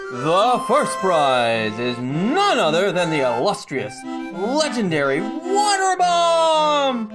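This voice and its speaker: A flamboyant carnival barker in a fantasy audio drama. The patter is grandiose and teasing, slowly savoured with wildly swooping pitch, while music plays underneath. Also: female voice. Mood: showy, grandiose. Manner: theatrical, grandiose showman patter.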